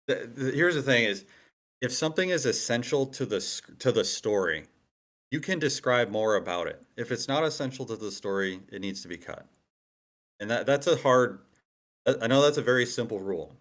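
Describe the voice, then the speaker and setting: A man's voice: mid-range voice, lively intonation, normal speed, pauses often, fairly bright tone. A man in a casual group discussion, explaining his views on writing at length with emphasis and repetition. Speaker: screenwriter giving feedback. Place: online meeting room.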